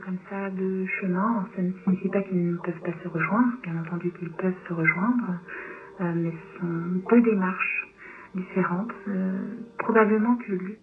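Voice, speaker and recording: A woman writer answering interview questions in a thoughtful, halting way, pausing between phrases. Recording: tinny, noisy low-bitrate shortwave radio.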